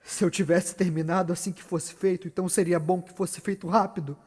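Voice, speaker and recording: A man's voice: high-pitched, steady tone, darkish tone, breathy edge. Man acting a tormented nobleman in echoing hall. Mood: brooding. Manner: grave, deliberate, declamatory. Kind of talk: theatrical Shakespearean soliloquy. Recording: long reverberant hall echo.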